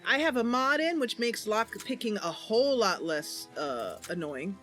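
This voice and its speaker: A woman's voice: on the high side, expressive, unhurried, a bit bright, soft background music. A woman streamer narrating a roleplay story and casually commenting on the game she is playing.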